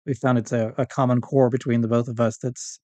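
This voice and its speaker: A man's voice: medium pitch, level tone, brisk, darkish tone, no room sound. A man speaking as a podcast guest in casual interview chat, with a rambling, easygoing manner. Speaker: writer.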